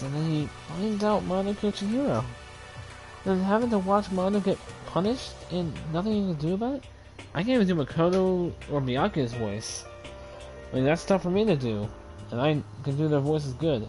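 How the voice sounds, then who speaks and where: A man reading a visual novel aloud with chatty asides; his voice is dry and scratchy today, and he gets excitable and trips over his words. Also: a little high, brisk, faint music behind. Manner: excitable, talks over himself with restarts. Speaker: let's play reader voicing a visual novel.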